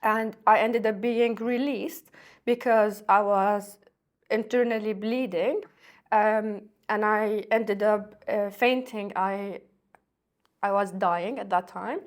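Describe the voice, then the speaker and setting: A woman in her thirties, from Syria, talking as a podcast guest in a hesitant way with many pauses and restarts. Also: mid-range voice, steady tone. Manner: soft-spoken, hesitant, with many ums and restarts. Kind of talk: casual interview talk.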